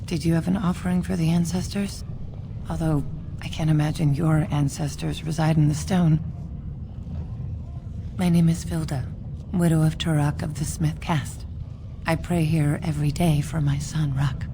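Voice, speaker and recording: Grieving, creaky-voiced low dwarf widow. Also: female voice, little pitch movement, background noise. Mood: sad, reverent. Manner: halting, sorrowful, earnest. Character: grieving dwarf widow praying for her lost son. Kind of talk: video game acting. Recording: background music behind the voice.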